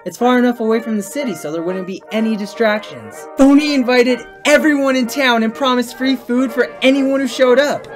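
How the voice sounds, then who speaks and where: A woman's voice: fairly high pitch, a little fast, few pauses, close to an everyday voice. A woman voicing an earnest, good-natured little male creature in a comic dub, talking like a chatty storyteller. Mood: storytelling. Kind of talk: fan comic dub voice acting. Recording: music behind the voice.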